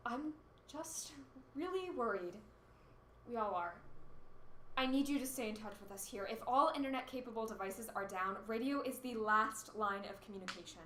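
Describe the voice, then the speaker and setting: An anxious, determined female radio host played by an actress in a disaster radio play. Her voice is bright and a little high, recorded in a roomy space with echo. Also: expressive, moderate pace. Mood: worried, steadying. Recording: roomy echo with faint noise.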